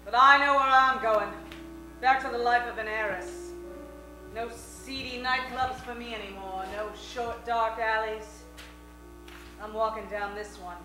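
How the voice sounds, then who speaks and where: A woman's voice: a little high, fairly even intonation, a little slow, close to an everyday voice. A woman playing a haughty, exasperated heiress in a comic radio play, dramatic and scornful. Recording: echoey hall with music behind.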